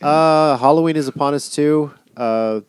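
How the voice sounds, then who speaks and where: A man's voice: fairly high pitch, unhurried, clean tone, no room sound. A man hosting a podcast in a casual, chatty style. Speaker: podcast host.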